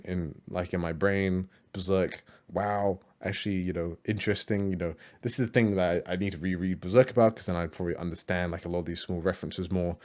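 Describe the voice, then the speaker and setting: A man's voice: deep voice, a little mellow. A man giving casual, rambling reaction commentary on other creators' videos, with many fillers and restarts.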